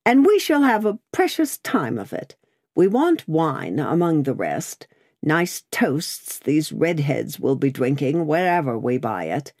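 A woman voicing a brisk, opinionated, loyal female servant in a storybook, with an emphatic, bossy but fond manner. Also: sits low, very animated, darkish tone, dry recording, clean studio sound, full character voice.

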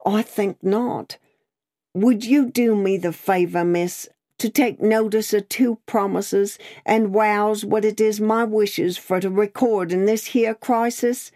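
A woman's voice: medium pitch, unhurried, dark tone, no echo, clean studio sound, voice pushed up, natural voice. A woman voicing an earnest, comically preachy working-class London man, solemn and emphatic, in a storybook reading. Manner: solemn, emphatic, holding forth as from a pulpit.

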